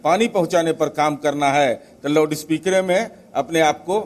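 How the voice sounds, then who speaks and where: A man's voice: fairly high pitch, normal speed. A man giving a spoken political soundbite to the press.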